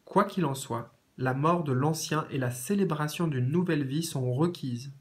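A man with a cold and a sore throat giving a tarot reading in a gentle, repetitive way with many fillers. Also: on the high side, normal speed, husky. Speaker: tarot reader. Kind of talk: tarot reading, reading out card meanings. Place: indoors at a card table.

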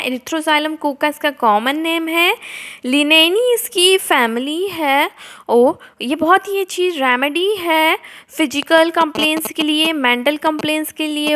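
A woman's voice: high voice, fairly bright tone. A young woman with an Indian accent giving an explanatory lecture, reading notes aloud and then explaining them. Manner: switches between reading out and explaining. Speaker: homeopathy lecturer.

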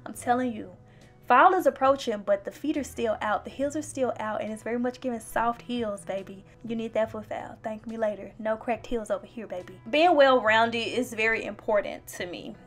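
A chatty, playful young woman with a slightly high voice narrates her own vlog and talks straight to her viewers. Her voice-over sits over faint background music. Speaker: beauty vlogger.

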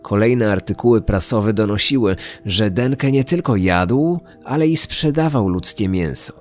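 A man narrates a true-crime podcast in a low voice. His delivery is scripted, in documentary style, with faint music running underneath. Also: expressive, measured pace, a little mellow.

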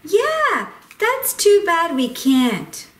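A woman's voice: very high voice, wildly swooping pitch, extremely slow, fairly bright tone, echoey room, full character voice. A woman voicing a sweet, wide-eyed little girl puppet in a children's show, drawing out her words with eager wonder. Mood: curious.

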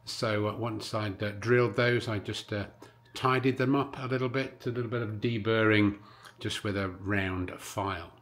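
Low-voiced middle-aged man explaining a project. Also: fairly even intonation, moderate pace, a bit bright. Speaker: host of a DIY car-building vlog. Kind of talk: casual instructional talk to camera. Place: home garage. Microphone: clip-on lapel mic.

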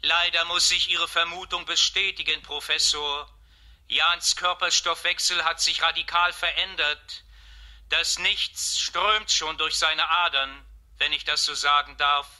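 A radio-drama robot helper with an extremely sharp, bright tone. It is formal, polite and apologetic, pitched a little high. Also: male voice, fairly even intonation, a little slow. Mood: regretful. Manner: formal, deferential. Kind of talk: audio drama acting.

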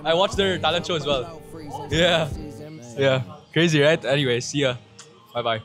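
A man's voice: fairly high pitch, normal speed, over music. Laid-back slangy young man vlogging. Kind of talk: casual vlog chat.

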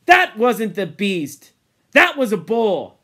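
A man voicing a bossy, scolding boy who leads young hunters, forceful and accusing, in a novel reading. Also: very high voice, completely flat delivery, normal speed, voice pushed up.

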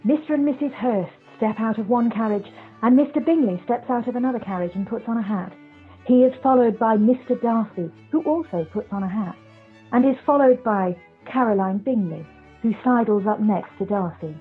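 A woman's voice: fairly high pitch, moderate pace, husky, soft background music. A woman narrating a play from a script over a video call. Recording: dull, muffled video call audio.

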